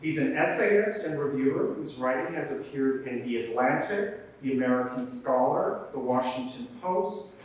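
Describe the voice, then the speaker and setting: A man's voice: medium pitch, animated, moderate pace. Joking man echoing in a large room. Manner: chatty, joking with the audience. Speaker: programs director introducing a book talk. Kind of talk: live event introduction. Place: library event room. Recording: very echoey room, from far off.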